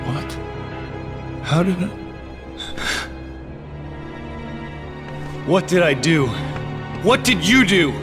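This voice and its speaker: An earnest, troubled young hero, speaks with urgency and defiance. His fairly high voice swings expressively over game cutscene music. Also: very animated, unhurried. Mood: distraught. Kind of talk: video game cutscene acting.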